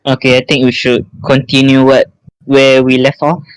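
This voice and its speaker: A man's voice: medium pitch, completely flat delivery, moderate pace, clean tone, dry recording, rough recording. A man chatting casually as a podcast panelist, mostly in short reactions.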